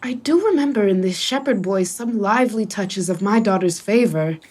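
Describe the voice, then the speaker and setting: A woman's voice: medium pitch, expressive, normal speed, darkish tone, close to an everyday voice. A woman playing a noble, thoughtful duke and father in a Shakespearean radio play.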